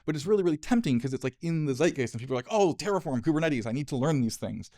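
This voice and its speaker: A man in his thirties answering audience questions after a conference talk, casual and quick to laugh. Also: on the high side, expressive, warm tone, dry recording, clean studio sound. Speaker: conference speaker. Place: lecture room, at a podium. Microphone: podium mic, dry.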